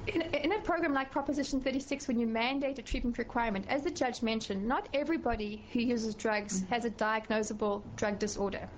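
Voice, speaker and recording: A middle-aged woman with a South African accent, a professor testifying before a committee in a measured way, with frequent fillers and restarts. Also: on the high side, slight background noise, low-quality recording. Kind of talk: formal testimony.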